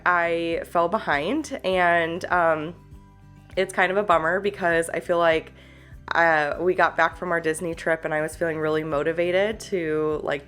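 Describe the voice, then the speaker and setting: A woman's voice: on the low side, fairly bright tone. A woman in a casual, rambling vlog chat, congested and a little stuffed up, with lots of fillers and small laughs. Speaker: planner YouTuber. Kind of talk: casual chatty vlog talk.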